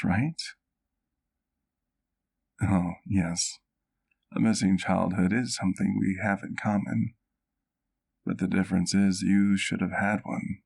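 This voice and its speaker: A man's voice: low-pitched, creaky, a little mellow, heavily acted. A man playing a courtly, doting vampire doctor in an ASMR roleplay, speaking intimately to the listener in formal, precise words. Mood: gentle, reflective. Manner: formal, precise, measured wording.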